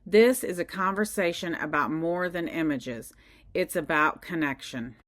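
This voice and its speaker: A woman in her fifties hosting a podcast, delivering a polished scripted intro and interview questions in an even voice. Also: fairly low pitch, unhurried, dry recording. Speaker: podcast host, sonographer. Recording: dry close mic with faint music. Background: faint music.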